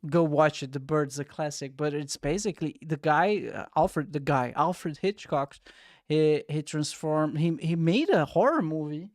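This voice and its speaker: A man's voice: a little high, unhurried, no echo, clean studio sound. A man hosting a podcast, chatty and eager as he greets listeners and interviews his guest. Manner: eager, chatty, asks for engagement. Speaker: podcast host.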